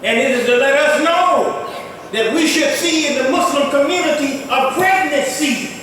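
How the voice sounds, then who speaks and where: Older imam preaching in an echoing hall. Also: male voice, fairly bright tone. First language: not Arabic. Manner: emphatic preaching with rising exclamations. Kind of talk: Friday sermon. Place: large mosque prayer hall. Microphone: distant mic in a mosque. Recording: echoey hall, far from the mic, faint rumble.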